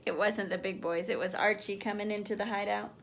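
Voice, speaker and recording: A woman's voice: medium pitch, steady tone, brisk, creaky, a little hiss or hum. A woman teacher reading a children's picture book aloud, expressively, as if putting questions to young listeners.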